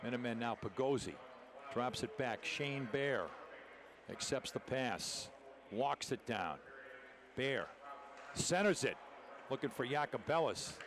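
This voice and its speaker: A man's voice: mid-range voice, animated, measured pace, pauses often. Male hockey play-by-play caller, noisy rink. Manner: clipped, running description of the action. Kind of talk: live sports commentary. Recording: noisy arena broadcast, rink ambience behind.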